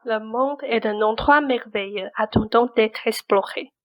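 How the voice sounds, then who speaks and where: A woman playing a blunt but caring confidante in a French radio play, speaking in a brisk, insistent, colloquial way. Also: fairly high pitch, muffled recording, music in the background, natural voice. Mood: earnest, persuasive. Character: blunt, pushy but caring female confidante urging Cinderella onward.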